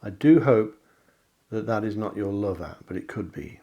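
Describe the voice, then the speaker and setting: A middle-aged man, a psychic reader, chatting through a card reading with reassuring asides and a slightly hoarse voice. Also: fairly low pitch, lively intonation, moderate pace, warm tone. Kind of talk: tarot card reading to camera.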